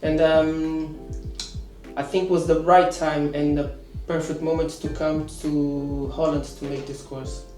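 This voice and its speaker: A man in his thirties, a course student, answering interview questions with many pauses and restarts. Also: fairly high pitch, flat delivery, a little mellow, roomy sound, faint music behind. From Portugal. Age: 30s. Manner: hesitant, many fillers and restarts.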